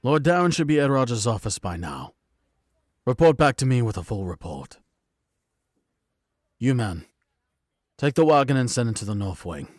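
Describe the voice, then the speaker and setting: A man's voice: mid-range voice, very animated, normal speed, pauses often, darkish tone, dry recording, studio quality. A man acting an authoritative knight commander in a fantasy audio drama, formal and clipped in his orders. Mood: commanding.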